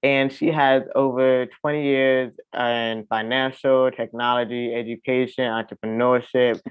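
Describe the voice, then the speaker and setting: A man's voice: medium pitch, level tone, unhurried, clear, resonant tone, no echo. Phone-like, dry-sounding male podcast host. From South Florida, United States. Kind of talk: conversational podcast hosting.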